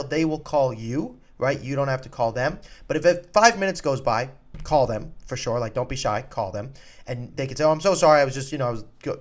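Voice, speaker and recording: A man with a mid-range voice talks like a coach hosting an advice show. His delivery is informal and direct. He puts emphasis on important points and repeats them so they land. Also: medium pitch. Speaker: college soccer recruiting coach and podcast host. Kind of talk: informal advice talk to students and parents.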